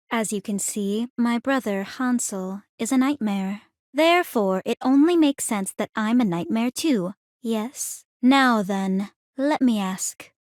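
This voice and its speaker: Calm young lady from a video game, soft dark tone, very dry recording, polite n measured, clinical curiosity, bit of a tease. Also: fairly high pitch, no room sound. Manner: composed, measured, formal, inquisitive. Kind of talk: scripted video game character voice lines.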